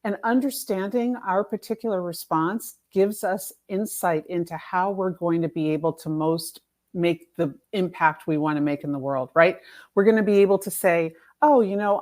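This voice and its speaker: Low-pitched woman, emphatic interview guest. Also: a little mellow. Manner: emphatic, illustrates points with imagined quotes. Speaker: guest, author and activism coach. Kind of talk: conversational interview explanation.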